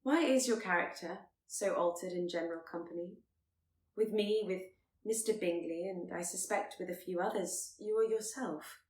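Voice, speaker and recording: A woman voicing a spirited, candid and tender young Regency gentlewoman, articulate and earnest. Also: fairly low pitch, normal speed, reverberant, natural voice, low-quality recording.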